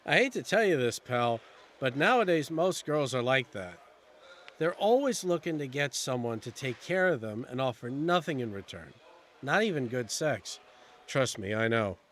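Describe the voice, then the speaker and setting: Man playing cynical male coworker, average pitch, blunt, chummy, casual, audio drama. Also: sing-song, normal speed, a bit bright.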